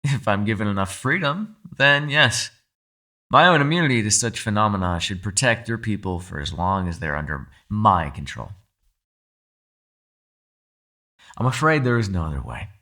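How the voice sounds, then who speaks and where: A man playing a captive mind-controlling being in an ASMR roleplay, calm, shrewd and persuasive, speaking in a measured, formal, quietly condescending way. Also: fairly low pitch. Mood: calm bargaining. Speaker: voice actor. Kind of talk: ASMR roleplay, dramatic monologue.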